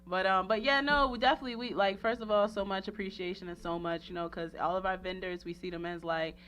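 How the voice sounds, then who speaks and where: A woman's voice: medium pitch, fairly even intonation, fast, a bit bright, poor audio. A woman hosting a casual radio interview, effusive and slangy, rambling and often repeating herself. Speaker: radio show host and interviewer. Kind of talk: casual promotional interview chat.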